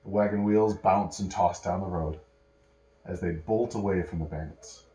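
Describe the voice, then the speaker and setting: A man with a fairly low voice tells a bedtime story in a room with clear echo. He narrates in an improvised way, pausing and correcting himself as he builds the scene. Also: dark tone. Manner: pauses and self-corrections while telling. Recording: echoey room.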